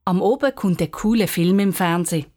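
Low-voiced Swiss woman narrating over music. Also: a little fast. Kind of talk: scripted children's story narration.

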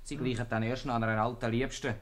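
Actor as a wry, bossy Swiss bailiff. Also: male voice, medium pitch, flat delivery, fast talker, lightly acted. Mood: wistful. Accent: Swiss German. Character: wry, sentimental male court bailiff, bossy and garrulous. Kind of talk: 1950s radio comedy acting in dialect.

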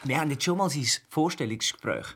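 A cocky young IT student. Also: male voice, on the high side, expressive, fast talker, a bit bright, husky, heavily acted. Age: young adult. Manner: slangy, cocky. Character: brash young male IT student, a mama's boy. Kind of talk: radio play acting.

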